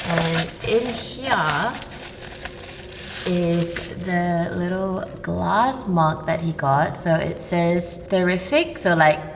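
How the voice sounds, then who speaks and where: A woman's voice: lowish voice, a little hiss or hum. A woman from Malaysia chatting casually and enthusiastically to camera as a vlogger.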